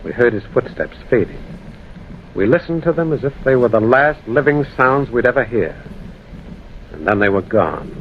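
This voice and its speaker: A tense, grave storyteller of a ghostly encounter , acted by a man. He speaks deliberately and dramatically with animated pitch, in old radio drama style on a dull, faintly noisy recording. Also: lightly acted. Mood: grave, suspenseful. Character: grave male traveler recounting a ghostly encounter. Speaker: narrator and protagonist.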